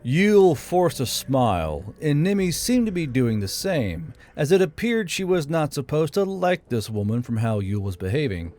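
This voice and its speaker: A man's voice: fairly high pitch, very animated, moderate pace, few pauses, a little mellow. A man narrating and hosting a self-produced fiction audiobook channel. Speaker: narrator and channel host, amateur author. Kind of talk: audiobook narration and informal member shout-outs. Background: background music.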